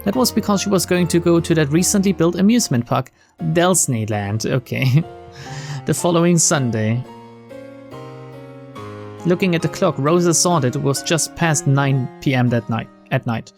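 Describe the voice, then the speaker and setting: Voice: medium pitch, moderate pace, darkish tone, soft background music. A let's player reading visual novel narration aloud, breaking off for informal, sweary asides. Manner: sweary, informal reactions between read lines.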